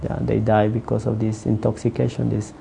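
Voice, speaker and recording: A man working as a counselor whose first language is not English, answering interview questions in a halting way, with many restarts. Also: fairly low pitch, monotone, gravelly, dark tone, a little hiss or hum.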